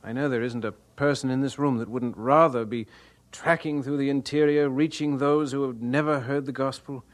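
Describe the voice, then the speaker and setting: An actor playing an earnest, devout young missionary in an audio drama, speaking with halting fervor. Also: male voice, mid-range voice, steady tone, lightly acted. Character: earnest, devout young male missionary, self-deprecating and tender-hearted. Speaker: actor in the lead role.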